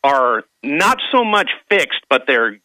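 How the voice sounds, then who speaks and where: Male radio caller, conversational storyteller, crisp forward tone, dry close sound. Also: medium pitch, sing-song, takes it slowly, sharp tone, no echo, rough recording. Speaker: phone-in caller. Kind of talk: conversational call-in anecdote.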